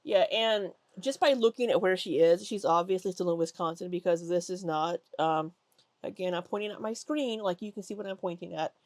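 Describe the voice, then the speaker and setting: A woman's voice: on the low side, brisk, a little mellow, no room sound. A woman with a stuffy nose giving chatty, critical reaction commentary over video clips, with lots of ums. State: congested. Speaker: commentary YouTuber.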